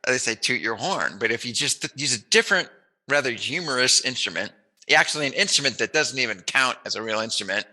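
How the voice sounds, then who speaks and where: A man's voice: medium pitch, animated, fairly quick, pushed and bright. A man hosting a casual chat interview, talking in a playful, jokey, musing way. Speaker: interviewer, host.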